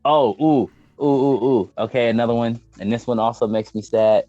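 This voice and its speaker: A man chatting casually as a gaming show host, slangy and opinionated, given to excitable interjections. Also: medium pitch, normal speed. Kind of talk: casual opinionated chat about video game movies. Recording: dull, echoey room, faint music behind.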